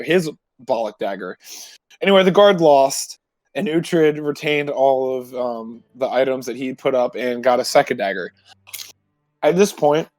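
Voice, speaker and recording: A man tells the story so far of a tabletop roleplay game in an informal, halting way, backing up to correct himself. His mid-pitched voice sits close and dry. Music plays softly behind him. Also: dry recording. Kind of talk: informal recap of a previous game session.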